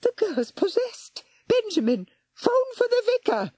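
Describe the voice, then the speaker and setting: Brief: woman doing a shrill, fluttery older lady, very high and squeaky, always in a fluster. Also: huge pitch swings, a little slow, darkish tone, slightly rough, dry recording, studio quality, heavily acted. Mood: alarmed. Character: fussy, easily frightened older woman.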